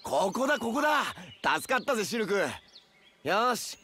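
An actor playing a brash, easygoing young soldier in a Japanese video game, with a rough, casual, confident way of talking. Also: male voice, extremely high pitch, animated, normal speed, bright, forceful tone, full character voice. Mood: cheerful, cocky. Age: young adult.

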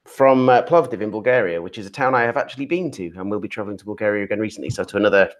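30s guy on a video call, low voice, rambles on friendly about stuff. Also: rapid-fire. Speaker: co-host. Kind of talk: casual chat on a video call. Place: room at home.

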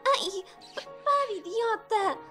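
A woman's voice: very high-pitched, expressive, slow pace, pushed and bright, faint music behind, heavily acted. A woman with a European Portuguese accent voicing an impulsive, touchy, vulnerable teenage girl in an anime fan dub. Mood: flustered, pained.

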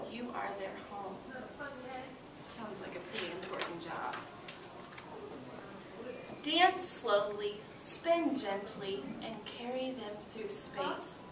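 A young woman, an elementary teacher, leading an interactive read-aloud for small children in an encouraging, expressive way. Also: on the high side. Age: young adult. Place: classroom with children seated on the floor. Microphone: far camera. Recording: roomy, noisy classroom, distant microphone.

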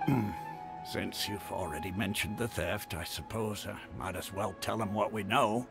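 A man voicing a seasoned, genial, grey-haired mercenary chief in a video game, measured and knowing. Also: fairly low pitch, vocal fry, over loud music. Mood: measured briefing. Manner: measured, explanatory. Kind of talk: video game character dialogue.

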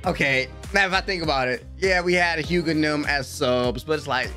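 Higher-pitched young American man, slangy and animated. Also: brisk, faint music behind. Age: young adult. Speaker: anime reaction host. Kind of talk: casual reaction commentary. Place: small room at home, indoors. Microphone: desk microphone close up, headset worn.

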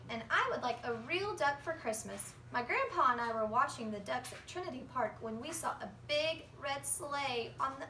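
Woman reading as an eager, high-voiced little kid. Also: pushed and bright, exaggerated voice. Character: eager, polite young children writing letters to Santa. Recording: roomy, distant phone recording with faint noise.

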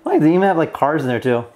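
A man's voice: a little high, very animated, quick pace, darkish tone. A young man giving casual, slangy and enthusiastic gameplay commentary as a toy reviewer. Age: young adult. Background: game music.

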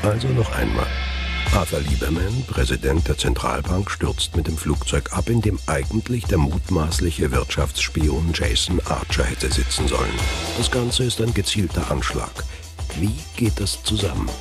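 Very deep male thriller narrator. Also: very animated, normal speed, loud music behind the voice. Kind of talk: scripted thriller audio drama narration.

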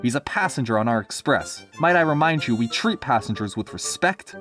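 A man's voice: medium pitch, animated, a little fast, a bit bright, soft background music, natural voice. A man voicing a stern, sensible female diesel engine in a storybook, with a firm, scolding manner.

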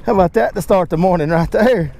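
Excitable man on a fishing boat. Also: high-pitched, fast talker, gravelly, slight background noise. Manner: excitable, folksy, talks to his dog and fish. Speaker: fishing guide and channel host. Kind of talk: casual fishing vlog narration. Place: outdoors on a boat on a lake.

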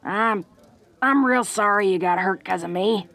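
A woman's voice: mid-range voice, a little slow, fairly bright tone. A woman playing a tough, protective, secretly awkward young orc woman in an intimate audio roleplay, with rustic slangy speech and a bashful stammer. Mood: awkward, earnest.

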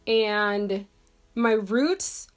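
A woman's voice: medium pitch, extremely slow. Congested woman chatting to camera. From Jamaica. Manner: chatty, rambling, many "like" and "um" fillers. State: congested from a cold. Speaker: beauty vlogger. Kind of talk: casual chat to camera. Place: bedroom at home, indoors.

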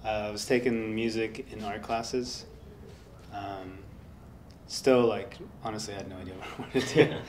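Soft-spoken man with a lowish voice. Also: steady tone, normal speed, slight background noise. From United States. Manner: soft-spoken, rambling with many fillers. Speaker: interviewee, guitarist. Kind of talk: relaxed interview. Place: indoor workshop studio.

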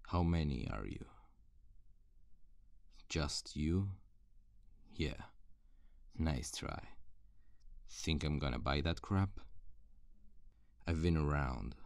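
Very deep, gravelly survivor, acted by a man. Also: no echo, exaggerated voice. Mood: menacing. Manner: menacing, slow, interrogating. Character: wary, threatening hardened male zombie-apocalypse survivor. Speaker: audio roleplay voice actor. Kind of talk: ASMR roleplay acting. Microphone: close, dry studio-style microphone.

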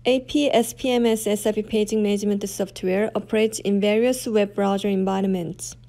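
A woman reading scripted product demonstration narration in a measured, formal manner. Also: medium pitch, fairly even intonation, warm tone.

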